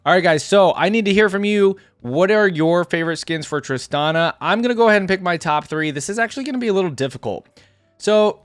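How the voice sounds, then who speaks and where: Man with lively pitch, reacting casually. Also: no echo. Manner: thinks aloud, chatty, informal slang. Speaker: host of a reaction video. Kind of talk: casual off-the-cuff reaction commentary.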